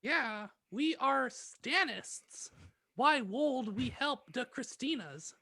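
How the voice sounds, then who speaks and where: A man's voice: very high voice, lively intonation, slow pace, creaky, bright, forceful tone. A man co-hosting a comedy podcast with casual banter and read-aloud bits. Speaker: podcast co-host.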